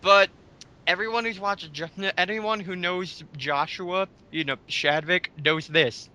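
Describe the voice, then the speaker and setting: A man with a high, bright voice in a casual group commentary. He rants sarcastically, argues his points and swears freely. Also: fairly even intonation, a little slow. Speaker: commentary co-host. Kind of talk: casual ranting group commentary with mocking asides.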